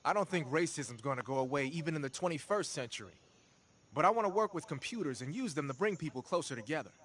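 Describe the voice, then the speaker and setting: Higher-voiced streetwise tech expert. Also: male voice, lively intonation, quick pace, fairly bright tone. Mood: frank, earnest. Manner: informal, explanatory. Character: talkative, streetwise male tech and weapons expert. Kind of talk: video game voice acting.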